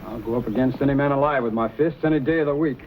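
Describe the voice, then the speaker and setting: An actor playing a defensive, brawling frontier tough in an old-time western drama, blunt and folksy. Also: male voice, medium pitch, racing, lightly acted. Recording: thin phone-like old recording, clear hiss.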